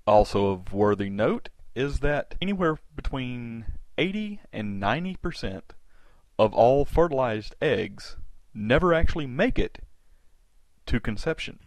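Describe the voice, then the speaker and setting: Animated, precise man clarifying. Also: medium pitch, unhurried, dry recording. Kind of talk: explanatory radio discussion.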